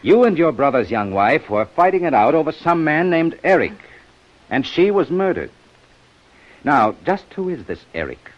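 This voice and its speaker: A man's voice: a little high, big pitch swings, moderate pace. A man playing a shrewd, sardonic police inspector in a 1950s radio detective drama, with a dry, probing manner. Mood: sardonic, accusing. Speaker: radio actor. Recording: muffled old-time radio broadcast recording.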